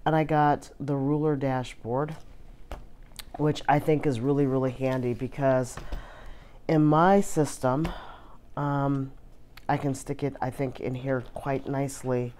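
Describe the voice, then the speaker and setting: A hoarse woman chatting casually through an unboxing, with many filler words. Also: rumbling low, steady tone, measured pace, dark tone, a little hiss or hum. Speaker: vlogger.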